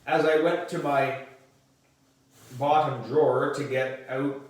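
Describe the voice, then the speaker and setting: A man reading a children's book aloud as narration, in a deliberate way with the odd stumble and reread. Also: medium pitch, fairly even intonation. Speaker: volunteer reader for a book club. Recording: distant mic in echoey room.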